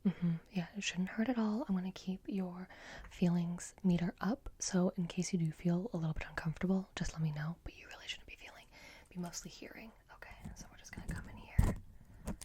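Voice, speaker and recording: A woman whispering in a breathy, gentle and reassuring murmur as she performs a soft-spoken ASMR roleplay. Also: lowish voice, steady tone, fairly quick, slight background noise.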